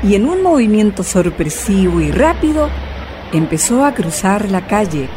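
A woman's voice: medium pitch, sing-song, moderate pace, background noise, close to an everyday voice. A woman narrating a radio drama in a scripted, dramatic storytelling style.

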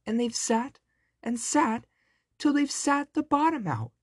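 A storyteller voicing a little baby boy bear from a children's storybook in a shrill, squeaky voice. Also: sing-song, a little slow, no room sound, clean studio sound. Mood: plaintive.

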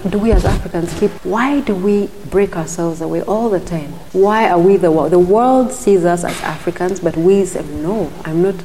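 Low-voiced Ugandan woman, thoughtful. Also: moderate pace, hardly any pauses, mellow, big-room sound, slight background noise. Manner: thoughtful, speaks in restarts and repeated murmured agreement. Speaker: guest. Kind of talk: casual interview talk.

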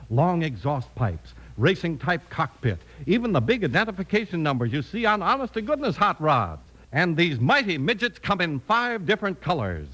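Lively, bright American radio announcer man. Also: on the high side. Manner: punchy, eager salesmanship. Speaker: announcer and narrator. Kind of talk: 1950s radio ad and story narration.

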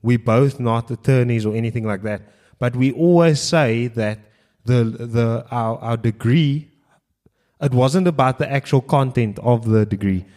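A man's voice: medium pitch, unhurried, darkish tone. A man co-hosting a podcast, chatting casually and pushing back with probing questions. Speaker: podcast co-host. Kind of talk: casual chat.

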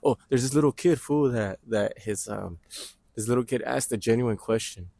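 A loud young man chatting casually on a podcast, full of slang and frequent laughter. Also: mid-range voice, moderate pace, creaky, no room sound. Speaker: podcast co-host. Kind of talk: casual chat. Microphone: portable mic.

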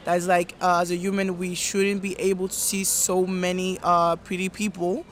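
A woman's voice: on the low side, flat delivery, moderate pace. Hesitant young woman podcast host chatting casually outdoors. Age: 20s. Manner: hesitant, lots of fillers and restarts. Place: outdoors in a park.

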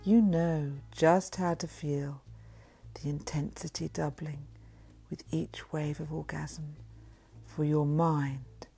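A woman reading a sensual guided meditation in a breathy voice that often drops into a whisper. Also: deep voice, steady tone, slow pace. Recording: voice over soft background music. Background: music.

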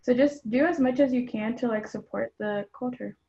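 A woman's voice: fairly high pitch, normal speed, gravelly, mellow, lo-fi sound. A young woman from a Mexican household in Atlanta answering interview questions earnestly, with frequent ums and you-knows. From Mexican household in Atlanta, Georgia. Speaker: guest.

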